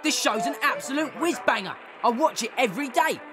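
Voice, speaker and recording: An actor playing a surly, excitable television-obsessed boy in a children's audio drama. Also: sits high, fairly quick, music in the background. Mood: gleeful. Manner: irritable, bratty, gleeful about violence.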